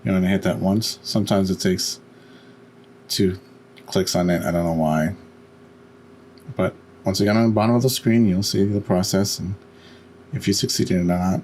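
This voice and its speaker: A man giving a step-by-step software tutorial in a halting way, pausing in mid-sentence. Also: sits low, fairly even intonation, slight background noise. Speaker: tutorial host.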